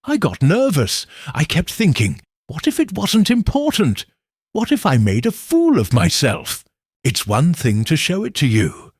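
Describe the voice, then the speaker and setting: A man's voice: fairly high pitch, wildly swooping pitch, measured pace, breathy edge, no room sound, studio quality, voice pushed up, heavily acted. A man voicing a nervous, guarded young woman client, explaining herself hesitantly and earnestly in an audiobook mystery. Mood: nervous, defensive.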